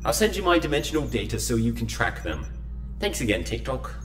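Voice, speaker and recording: A man's voice: mid-range voice, very animated, brisk, a bit bright. A man playing a courteous, fretful pony timekeeper in an audio drama, chatty and old-fashioned in his phrasing. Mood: resigned, cheery. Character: fretful, courteous male pony chronomancer, brightly British.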